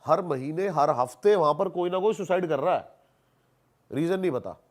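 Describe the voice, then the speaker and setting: Man, higher-pitched voice, casual animated storytelling, colloquial, English words mixed in, emphatic repetition. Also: normal speed. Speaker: podcast guest, haunted-place investigator.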